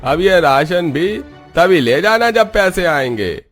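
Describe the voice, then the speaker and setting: A man's voice: a little high, very animated, slow pace, fairly bright tone, faint music behind, exaggerated voice. A man voicing a stern, unyielding grocer in a cartoon moral story. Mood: scolding. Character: stern, unyielding male grocer refusing credit.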